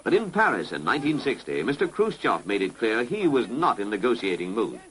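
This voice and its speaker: A man's voice: on the low side, sing-song, fairly quick, fairly bright tone, rough recording. A British man narrating a 1960s public information film in a formal, authoritative way. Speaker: documentary narrator. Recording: vintage 1960s film soundtrack, music behind.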